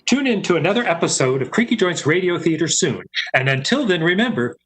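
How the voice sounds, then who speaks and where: A man with a husky voice hosting radio theater, reading a scripted announcement. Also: high voice, sing-song, brisk, a bit bright. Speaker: host.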